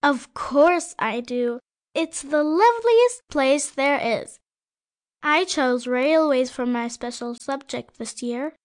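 An earnest tearful little schoolboy. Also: male voice, very high voice, lively intonation, slow pace, voice pushed up, exaggerated voice. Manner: earnest, pleading childlike voice. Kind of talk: storybook read-aloud.

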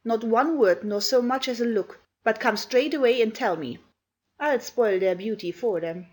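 A woman voicing a stern, sharp-tongued woman servant who scolds children. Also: on the high side, normal speed, slightly rough, voice pushed up. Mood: scolding.

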